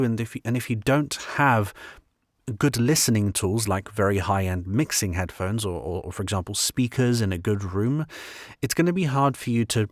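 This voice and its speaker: A man with a fairly low voice gives an informal tutorial in the manner of a working voice-over artist. He explains points emphatically, often repeating a phrase. The sound is dry, with very little room echo. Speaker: voice-over artist giving advice. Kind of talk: instructional talk, informal explainer.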